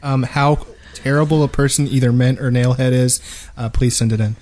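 Man with a mid-pitched, chatty podcast voice. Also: fairly even intonation, normal speed, a little mellow. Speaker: podcast co-host. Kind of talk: casual gaming podcast chat.

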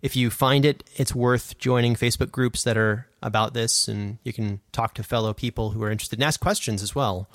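A man's voice: mid-range voice, steady tone, brisk, few pauses, dry recording, clean studio sound. A man in his twenties co-hosting a podcast, explaining things in a casual, conversational way. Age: 20s. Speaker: podcast co-host and sound designer.

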